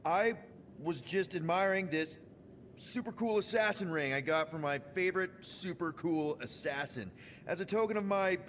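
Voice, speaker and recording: An actor playing an upbeat, awkward young leader of a space crew in a video game, talking in a hesitant, joking, rambling way. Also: male voice, high-pitched, normal speed, fairly bright tone, a little hiss or hum. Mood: sheepish, upbeat. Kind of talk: naturalistic video game acting.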